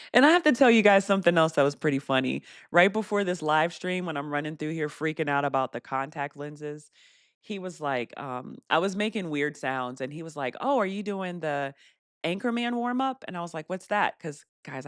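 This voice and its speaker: Woman w/ a deeper voice doing a live q&a, laughs a lot, dry close mic. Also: no echo. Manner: chatty, laughs often, reads viewer comments aloud. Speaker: host of a live Q&A stream. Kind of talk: casual live stream chat reading comments.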